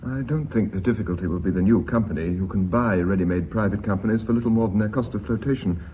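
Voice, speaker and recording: An actor playing a careful company legal adviser in a British radio drama, speaking in a measured, precise way with an English accent. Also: male voice, lowish voice, close to an everyday voice. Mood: cautious, factual. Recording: muffled hissy old radio recording.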